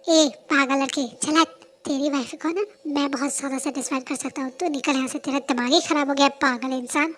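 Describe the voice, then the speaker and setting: A young woman voicing a crude, pushy grown man who speaks in a low breathy whisper and slow drawl. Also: steady tone, natural voice. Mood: aggressive.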